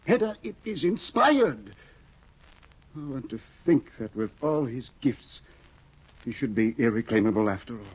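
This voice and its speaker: An actor playing an excitable, fussy young scholar husband in a 1950 radio drama, flustered and exclaiming, on a dull old broadcast recording. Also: male voice, mid-range voice, measured pace, slightly rough. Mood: fretful. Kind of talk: 1950s radio drama acting.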